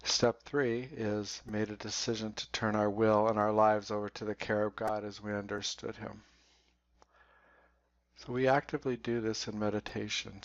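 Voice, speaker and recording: A man leading a guided meditation in a slow, deliberate way, leaving long pauses. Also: on the low side, gravelly. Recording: dull, roomy recording.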